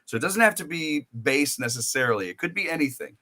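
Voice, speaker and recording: Man, American, podcast host, bright and forceful tone, rambling storyteller. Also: a little high, lively intonation, quick pace. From United States. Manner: rambling, storytelling with many restarts. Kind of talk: casual interview chat.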